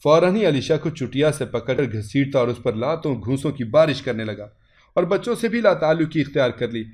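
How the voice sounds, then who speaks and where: A man's voice: medium pitch, animated, brisk, a little mellow. A man reading a scripted first-person story as its narrator, in a dramatic style.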